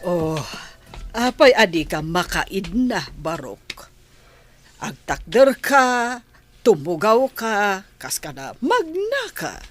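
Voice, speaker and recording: A woman playing an elderly, motherly grandmother in an Ilocano radio drama, with a drawn-out, expressive delivery. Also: mid-range voice, faint music behind. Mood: reassuring.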